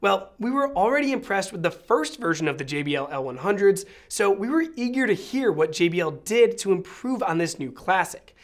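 A man's voice: high-pitched, very animated, brisk, few pauses. An American man in his thirties giving a scripted review to camera with polished, articulate presenter delivery. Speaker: presenter of an audio product review. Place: small indoor studio set.

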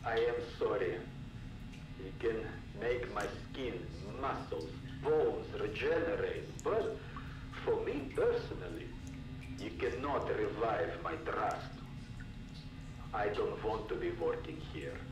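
A man's voice: on the low side, expressive, unhurried. An actor playing a disillusioned, distrustful male scientist who longs for peace, earnest and brooding, in a video game audio log. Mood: bitter, weary. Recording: roomy, distant, noisy cassette tape recording.

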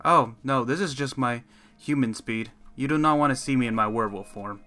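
A man's voice: mid-range voice, brisk, pushed and bright, close to an everyday voice. A man playing a wry werewolf mentor over music. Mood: confident, instructing. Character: male werewolf mentor, confident, wry, running training games. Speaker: teacher in a roleplay. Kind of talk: improvised Minecraft roleplay. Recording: music behind the voice.

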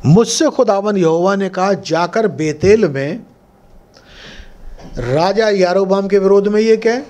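A middle-aged man preaching a sermon as pastor, dramatic and expressive, acting out biblical figures, speaking into a stand mic in a church studio. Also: high-pitched, slow pace. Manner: dramatic, gesturing broadly, voicing biblical figures. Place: church studio with curtain backdrop, indoors. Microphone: stand mic close in front.

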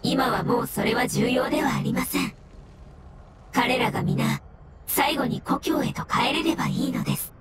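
A woman voicing a gentle, melancholy floating mushroom spirit in an anime game, with polite, solemn delivery. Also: fairly high pitch, lively intonation, moderate pace, very noisy, natural voice. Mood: gentle, hopeful. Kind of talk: Japanese anime game voice acting.

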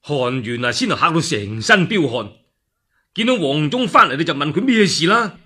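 A man's voice: on the high side, very animated, moderate pace, pushed and bright, breathy edge. A man with a Cantonese accent, a radio storyteller narrating a classical war tale theatrically, with exclamations and spoken sound effects.

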